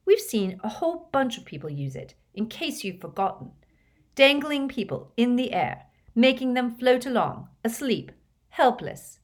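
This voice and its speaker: A woman voicing a clever, stubborn schoolgirl who lectures her friends in an earnest, high voice. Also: very animated, measured pace. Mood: reproachful.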